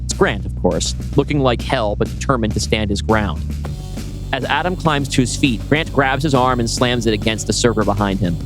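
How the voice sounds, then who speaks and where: A man's voice: medium pitch, normal speed. A man reading screenplay action as scripted narration, with a dramatic, suspenseful delivery over music. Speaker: podcast host reading his script.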